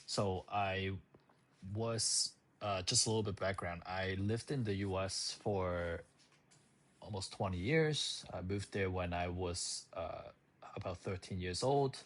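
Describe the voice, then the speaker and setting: A man's voice: sits low, takes it slowly, a bit bright. A man in his thirties who grew up in Hong Kong, telling a personal story to the camera in a loose, casual way with plenty of fillers and restarts. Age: 30s. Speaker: channel host.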